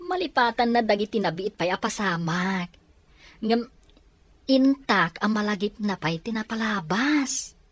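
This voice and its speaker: A woman voicing a patient male friend who calmly explains and reassures. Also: mid-range voice, unhurried, no echo.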